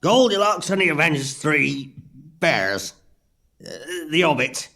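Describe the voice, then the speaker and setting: A man plays a hammy panto farmer, chatty and pleased with himself. His voice is gravelly and creaky, slightly high for a man, with very animated pitch. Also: a little slow, full character voice. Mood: showy. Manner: hammy, comic. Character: hammy, pun-loving farmer, chatty and self-promoting. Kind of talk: audio pantomime acting.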